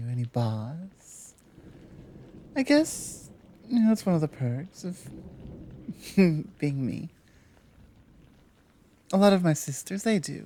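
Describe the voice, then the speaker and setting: A woman playing a gentle, self-assured Amazon rescuer in an intimate ASMR roleplay, speaking softly with deliberate pauses. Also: low-pitched, full character voice. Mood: playful, smug. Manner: soft, unhurried delivery with deliberate pauses. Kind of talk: intimate ASMR roleplay, one-sided conversation.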